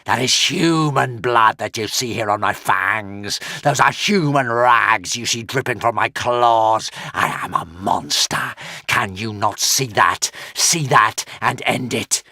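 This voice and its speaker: A man voicing a huge, tormented male dragon, hissing slowly and with menace. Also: medium pitch, sharp tone, no room sound, full character voice. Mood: furious.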